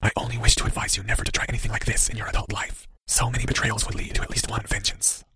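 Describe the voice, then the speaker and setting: A man voicing a mysterious, sly cloaked figure in an audiobook, in a dry, breathy whisper with courteous veiled menace. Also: on the low side, flat delivery, sharp tone. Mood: quietly menacing. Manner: slow, courteous veiled threats. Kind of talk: audiobook character voice.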